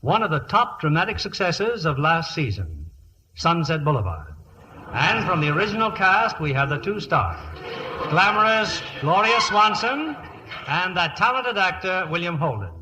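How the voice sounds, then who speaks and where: Very bright, high-pitched American radio host. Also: male voice, very animated, normal speed. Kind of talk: scripted 1950s American radio hosting. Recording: noisy vintage radio broadcast recording.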